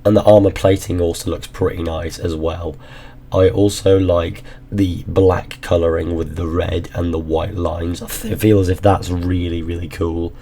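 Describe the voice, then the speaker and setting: A man with a hoarse, fading voice, talking through a casual gaming countdown in a rambling, informal way. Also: deep voice, level tone, normal speed, warm tone. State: hoarse, losing his voice. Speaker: gaming YouTuber. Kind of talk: casual ranking commentary.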